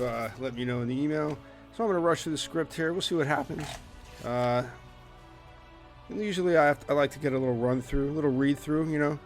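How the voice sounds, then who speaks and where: A man chatting casually in breezy, repetitive short phrases, like a performer about to read a script. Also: medium pitch. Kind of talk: casual chatty preamble before a script reading.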